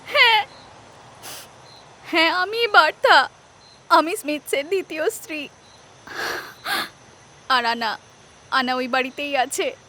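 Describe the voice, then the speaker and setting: A woman's voice: high voice, slow, long pauses, bright, forceful tone, slight background noise, exaggerated voice. A woman acting a panicked wife in an audio drama, pleading and protesting her innocence in repeated exclamations. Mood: shaken, confessing. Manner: frantic, breathless exclamations and repeated pleas.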